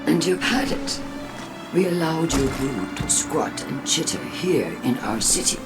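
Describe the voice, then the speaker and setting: A woman playing a cold, haughty human faction leader in a video game, measured and pointedly disdainful. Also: low voice, lively intonation. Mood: scornful. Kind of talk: video game cutscene acting. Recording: loud music behind the voice.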